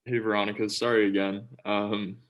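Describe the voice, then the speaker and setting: A man's voice: fairly low pitch, little pitch movement, normal speed, background noise. A young man in his twenties, a student, hesitantly asking a question on a video call with many fillers.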